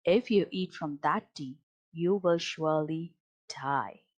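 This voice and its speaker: A woman's voice: low voice, slow, a little mellow, muffled recording. A woman reading a children's Bible story aloud as a storybook narrator. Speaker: storybook reader.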